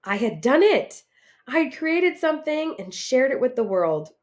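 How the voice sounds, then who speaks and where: A woman reading a picture book aloud in an animated, expressive, dramatic way for children. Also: sits high, measured pace. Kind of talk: animated storybook read-aloud.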